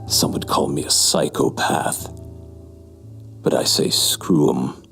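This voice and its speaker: Guy acting bitter psycho narrator, super low and slow, gravelly, brooding audio drama, music behind. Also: steady tone, fairly bright tone, heavily acted. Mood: brooding, callous. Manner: brooding, slow, muttering to himself. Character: trapped, bitter male psychopath narrating his downfall, menacing.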